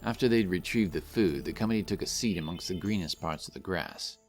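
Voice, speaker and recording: A man's voice: sits low, brisk, faint music behind. A man narrating a scripted fantasy story as the narrator of an audio drama.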